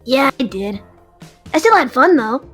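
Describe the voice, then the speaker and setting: A woman's voice: sits high, sing-song, measured pace, fairly bright tone, slightly rough, soft background music, heavily acted. A woman playing a childish, sulky dragon in an audio drama, with a whiny, dramatic delivery. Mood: sheepish. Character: childish card-playing dragon, hungry, sulky, easily flustered.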